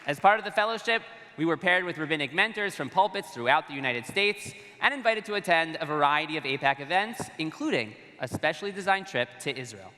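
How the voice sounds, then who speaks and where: A man, a rabbi, giving formal prepared remarks to a conference with steady delivery. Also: a little high, brisk, few pauses, a faint crowd. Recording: long reverb of a large hall.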